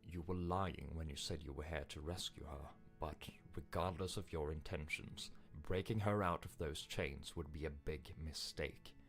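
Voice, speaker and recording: Deep, ominous game narrator voiced by a man. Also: moderate pace, hardly any pauses, soft background music. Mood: stern, warning. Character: grave storybook narrator, ominous, wary, commanding.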